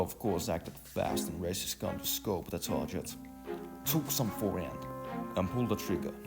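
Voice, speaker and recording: A male narrator reads a scripted story in a deep, gravelly voice. He now and then stumbles or repeats a word. Clear music plays behind him. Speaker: narrator of an audiovisual novel.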